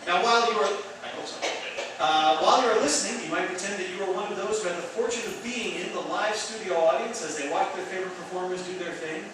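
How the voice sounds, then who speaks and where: A man's voice: sits high, fast, hardly any pauses. A man presenting a radio theatre show with a chatty scripted introduction. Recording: very echoey, distant mic, clear background noise.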